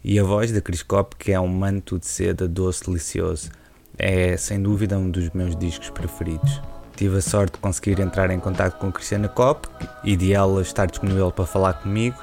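A man hosting a podcast, reading scripted narration in a calm, measured way. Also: low-pitched, creaky, over music. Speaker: podcast host.

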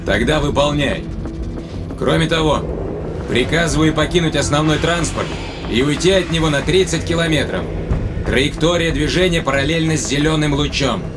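An actor playing an anxious, commanding spaceship captain in an audio drama, speaking in urgent, terse orders. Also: male voice, normal speed, natural voice. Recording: loud music and engine rumble behind.

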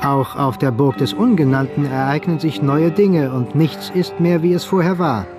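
A man's voice: a little high, moderate pace, music in the background. A man giving scripted literary narration as the narrator of a radio drama.

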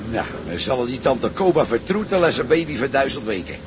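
Cheeky salty sailor played by an actor, mid-pitched. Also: male voice, sing-song, fairly quick, background noise, close to an everyday voice. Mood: cheeky, mocking. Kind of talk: radio drama acting.

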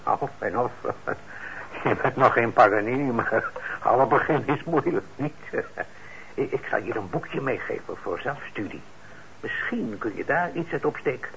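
Kind older shop owner in a radio drama, gravelly, soft halting way of talking, muffled noisy old recording. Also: male voice, medium pitch, animated. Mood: encouraging. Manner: gentle, halting, encouraging. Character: kindly, trusting older shopkeeper father, patient with youngsters. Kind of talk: radio play acting.

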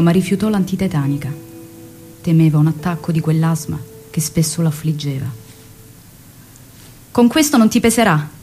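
A woman's voice: on the low side, dark tone, natural voice. An actress playing a devoted young revolutionary woman who recalls her commander in evocative, theatrical stage storytelling. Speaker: actress narrating. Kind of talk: dramatic stage monologue and dialogue.

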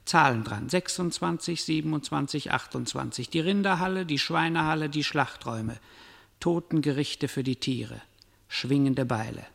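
A man's voice: on the high side, moderate pace, vocal fry, a bit bright. A man playing a stern, mocking narrator of doom, declaiming solemnly and incantatorily in a literary radio drama. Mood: solemn, ominous. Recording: long cavernous reverb.

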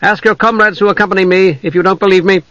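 Man voicing a steady, authoritative half-elf peacemaker. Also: sits high, no echo, highs cut off, voice pushed up. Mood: firm, diplomatic. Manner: measured, persuasive. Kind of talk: fantasy audiobook character voice.

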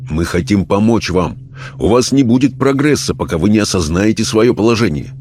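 Deep-voiced man acting a patient seance questioner. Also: animated, brisk, darkish tone, lightly acted. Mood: insistent. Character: calm, firm, patient male seance questioner.

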